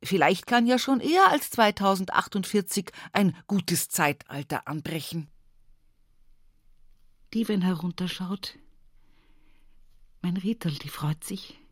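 An actress playing a devout, humorous Bavarian countrywoman looking back on her life in an intimate radio monologue, earthy and often breathy. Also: on the low side, expressive, measured pace, pauses often, no echo, clean studio sound. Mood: urgent, pleading. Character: rural Upper Palatinate woman, devout, humorous, wistfully reminiscing. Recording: music behind the voice. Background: music.

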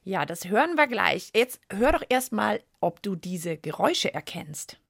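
A woman with a mid-range voice and very animated intonation hosts a science show for children. She explains and puts questions in a playful, conversational way. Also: medium pitch, unhurried, gravelly, heavy background noise. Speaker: host of a children's science podcast.

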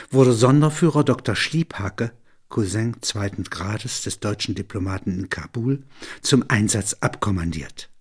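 Low, raspy man narrating a story. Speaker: narrator reading literary prose. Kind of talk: scripted literary narration.

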